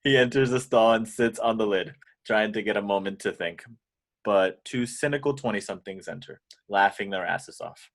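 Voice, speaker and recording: A man's voice: fairly low pitch, moderate pace. A man narrating screenplay action lines as scripted narration in an amateur table read. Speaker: narrator reading stage directions.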